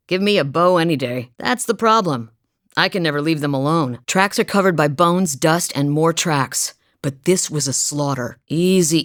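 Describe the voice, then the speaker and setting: A wry northern huntress. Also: female voice, deep voice, no echo. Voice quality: wry, dry humor. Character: wry, steady female hunter from a cold northern village. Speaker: video game companion character. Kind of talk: game voice lines.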